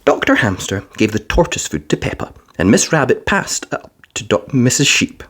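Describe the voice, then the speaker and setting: A woman narrating a children's storybook in an expressive, deliberate read-aloud style. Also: extremely low pitch, slightly rough.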